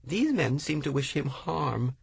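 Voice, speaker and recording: A man's voice: on the high side, sing-song, measured pace, vocal fry, breathy edge, dry recording, voice pushed up, heavily acted. A man reading the part of a distraught, tearful wife, pleading and sorrowful, raising his voice for her in a storybook reading. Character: distraught, tearful wife, middle-aged woman.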